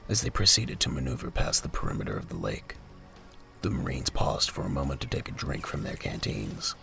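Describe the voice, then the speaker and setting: A man's voice: a bass voice, big pitch swings, brisk, gravelly, sharp tone. A man reading scripted narration for a military audio drama as its narrator. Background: music.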